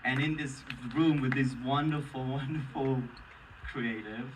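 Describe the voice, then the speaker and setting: A man with a Vietnamese accent speaks at a middle pitch, earnest and emotional, and keeps restarting his sentences. He is heard from far away through an audience member's camera, with plenty of noise around him. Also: steady tone, unhurried. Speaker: actor on a panel. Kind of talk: casual, personal panel Q&A answers. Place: large theater, Q&A on stage. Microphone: audience member's camera from the seats. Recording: distant, noisy audience camera recording.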